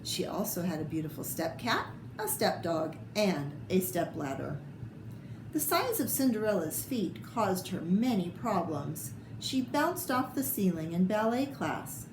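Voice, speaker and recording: A woman reading a children's picture book aloud with expressive, theatrical delivery and short asides about the pictures. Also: fairly low pitch, measured pace. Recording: echoey room with clear background noise.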